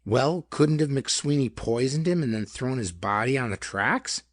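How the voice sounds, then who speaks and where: A man's voice: medium pitch, lively intonation, a little slow, dry recording, studio quality, voice pushed up. A man voicing a blustering, baffled lawman in a pulp detective audiobook, sputtering and exclamatory.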